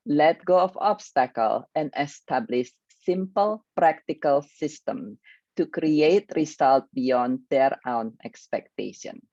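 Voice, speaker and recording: A woman's voice: sits low, darkish tone, no echo, muffled recording. A woman with an Indonesian accent, a grief coach giving an earnest, motivational online workshop.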